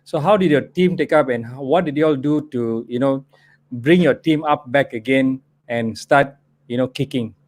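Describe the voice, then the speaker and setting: A man hosts a live online business talk, nudging guests along with an encouraging manner. His voice sits at an everyday mid pitch and he leans on "you know" as filler. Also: moderate pace, a little mellow. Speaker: host. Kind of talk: live webinar hosting.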